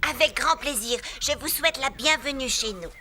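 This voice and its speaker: A woman's voice: high voice, moderate pace, lightly acted. A woman voicing a feisty, grumpy little talking flower with blustering, exaggerated delivery in a French children's cartoon. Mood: grudgingly welcoming. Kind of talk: dubbed children's cartoon acting. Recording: music under the voice.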